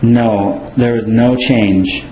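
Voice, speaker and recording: A man's voice: medium pitch, steady tone, drawn-out words, mellow, a little hiss or hum. An older man from Venezuela answering citizenship interview questions in short, complete, careful sentences. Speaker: citizenship applicant in a mock interview. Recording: roomy echo with faint ambience.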